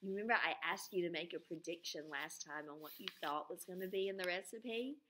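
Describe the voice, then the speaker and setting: A woman with a bright, clear-cut voice reads a children's book aloud in a teacher's manner. She is expressive and breaks off often to explain things simply or put questions to her young listeners. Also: fairly low pitch, a little fast.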